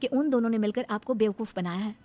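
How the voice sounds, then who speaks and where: A woman's voice: a little high, fast, warm tone, faint music behind, close to an everyday voice. A woman voicing a wronged young daughter-in-law in a cartoon family drama, earnest, indignant and dignified. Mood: firm. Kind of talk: dramatised cartoon story dialogue.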